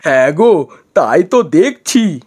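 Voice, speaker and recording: Man playing an earnest, high-pitched village husband. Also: sing-song, slow, darkish tone, slightly rough, heavily acted. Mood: delighted. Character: earnest, eager village husband and small pigeon trader. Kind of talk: Bengali cartoon story voice acting.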